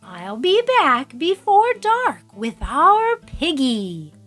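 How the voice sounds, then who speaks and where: A woman's voice: sits high, wildly swooping pitch, takes it slowly, a bit bright, faint music behind, full character voice. A woman voicing a kindly, worried old mother in a told folk tale, singing and pleading in turns. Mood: cheerful. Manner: sing-song, pleading. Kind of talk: storytelling with songs.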